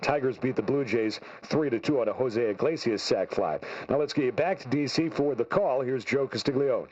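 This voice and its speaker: A man with a medium-pitched voice delivers a scripted scoreboard update. The radio recording is a bit muffled, with faint noise behind. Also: moderate pace, a little mellow.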